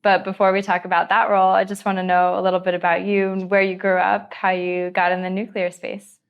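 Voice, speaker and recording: A woman's voice: mid-range voice, little pitch movement, brisk, clean tone, highs cut off. Upbeat woman interviewing a guest. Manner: encouraging, frequent short reactions. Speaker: podcast host, interviewer. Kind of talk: friendly interview questions. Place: office at company headquarters.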